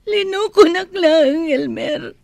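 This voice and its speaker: A heartbroken young woman in a radio drama. Her voice sits very high and creaks as she slowly, plaintively pours out her hurt, recorded close and dry. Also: darkish tone, no room sound, exaggerated voice. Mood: tearful hurt. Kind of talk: Ilocano radio drama acting.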